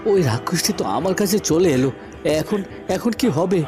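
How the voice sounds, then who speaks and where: A man's voice: high voice, expressive, brisk, over music. A man voicing an earnest young prince in a melodramatic cartoon, often stammering and repeating his words. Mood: frightened. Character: earnest young prince, devoted lover, easily frightened.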